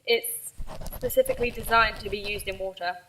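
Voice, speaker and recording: Pathologist, female actor, clinical and unemotional, amateur radio drama, medium-pitched voice. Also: fairly even intonation, a little fast, fairly bright tone, a little hiss or hum, natural voice, poor audio. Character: matter-of-fact female pathologist reporting post-mortem findings.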